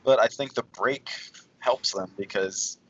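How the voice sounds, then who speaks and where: A man of medium pitch debates casually as a podcast co-host. He pushes back and argues his point in loose, conversational phrases. The recording is slightly dull and lo-fi. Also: a little slow. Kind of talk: casual movie debate among friends.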